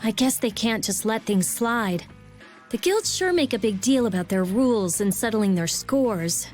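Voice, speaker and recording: A woman's voice: medium pitch, lively intonation, measured pace, soft background music, natural voice. A woman playing a blunt, impatient teenage girl mage in a video game, with a grumbling manner. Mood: exasperated.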